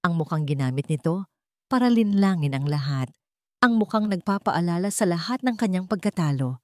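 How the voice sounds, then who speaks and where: A woman's voice: fairly low pitch, expressive, normal speed, a little mellow. A woman with a Filipino accent narrating a scripted story in a dramatic style.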